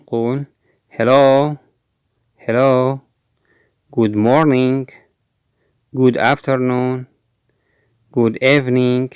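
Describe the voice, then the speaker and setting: A clear-voiced man drilling language phrases. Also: mid-range voice, dry recording, clean studio sound. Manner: says each English phrase twice, slowly and clearly; explains quickly in Arabic between examples. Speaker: English teacher. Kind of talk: language lesson.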